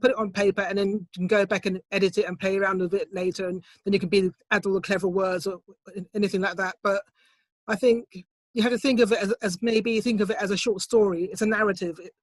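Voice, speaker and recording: A woman's voice: medium pitch, steady tone, dry recording. Middle aged lady poet on a video call, thoughtful, bit hesitant, dry close sound, no room at all. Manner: thoughtful, measured, with soft hesitations. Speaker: poet, competition winner. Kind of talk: online interview, reflective answers. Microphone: computer mic on a video call, set quiet.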